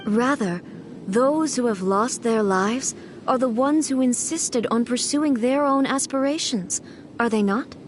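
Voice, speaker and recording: A woman playing a serene, haughty immortal ruler devoted to eternity, speaking formal, measured lines in a video game. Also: on the high side, a little hiss or hum, lightly acted. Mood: calm, solemn. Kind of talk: scripted video game dialogue.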